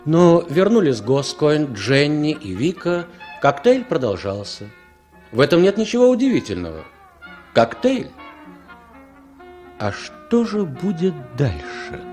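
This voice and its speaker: An actor as the narrator of a spy drama, reading scripted narration in a 1960s radio play. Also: male voice, fairly high pitch, wildly swooping pitch, a little slow, darkish tone, faint music behind. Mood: narrating.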